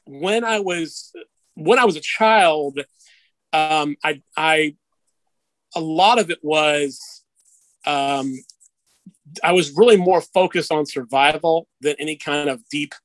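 A man's voice: a little high, a bit bright, dry recording, low-quality recording. A middle-aged man telling personal stories casually as an interview guest, in a candid, self-deprecating way. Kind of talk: casual conversational storytelling.

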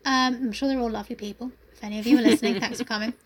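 High-voiced woman, teasing chat. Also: steady tone, rapid-fire. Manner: chatty, dry teasing, acts out quoted people. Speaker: podcast co-host. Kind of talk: casual chat.

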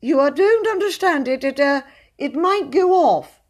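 A timid, pompous gentleman from a storybook. The voice sits very high and quavers as it swings up and down. He is plaintive and hesitant, forever pleading. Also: very animated, takes it slowly, heavily acted. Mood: frightened pleading. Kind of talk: storybook character voice.

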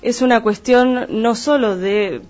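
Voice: medium pitch, slow pace, a little mellow. Didactic Argentine speaker, dull lo-fi sound. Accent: Argentine Spanish. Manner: didactic, clarifying terms step by step. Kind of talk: explanatory conversational analysis.

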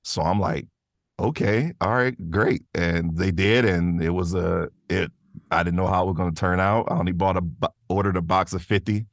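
A man with a deep voice talks casually as an interview guest. He is easygoing and modest as he tells his stories, and the sound is dry with no room echo. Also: normal speed. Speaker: interview guest, voice actor and creator. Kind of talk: casual conversational storytelling.

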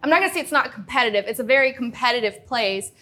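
A woman's voice: a little high, expressive, rapid-fire, pushed and bright. A woman from Louisiana speaking as an interview guest in a casual, earnest way with emphatic repetition. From Shreveport, Louisiana, United States.

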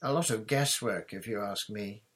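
A man's voice: on the low side, normal speed, a bit bright, husky. A man voicing a clever, bossy schoolgirl with an airy, superior manner in a storybook reading. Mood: cool, dismissive.

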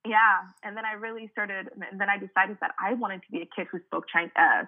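A woman's voice: medium pitch, fairly even intonation, fairly quick. Woman from Cameroon on a tinny line. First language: French. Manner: chatty, many fillers and "like". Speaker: guest. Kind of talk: casual interview conversation.

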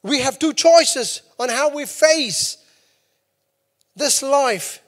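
A man preaching from the pulpit in a voice pitched unusually high, driving his points home by saying them twice. His words ring out through a large church hall with a long reverb trailing behind them. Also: lively intonation, extremely slow, a bit bright. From New Zealand. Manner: emphatic, repeats key lines. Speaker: pastor. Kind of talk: sermon.

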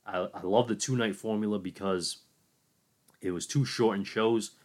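A man giving an enthusiastic, opinionated solo recap of a wrestling event, talking casually with some fillers. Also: lowish voice. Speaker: wrestling commentator.

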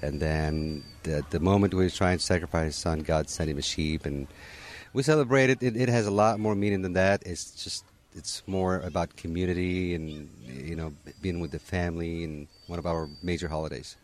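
Deep-voiced easygoing man from Morocco. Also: moderate pace. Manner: easygoing, sprinkles in "you know" and restarts. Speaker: interviewee at a holiday gathering. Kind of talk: casual conversational answers.

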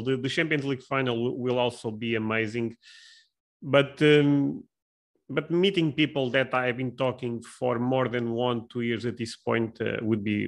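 A male podcast host with a medium-pitched voice. He speaks English in a casual, conversational way, halting and doubling up on words as he builds his questions and answers. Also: vocal fry. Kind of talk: casual interview chat.

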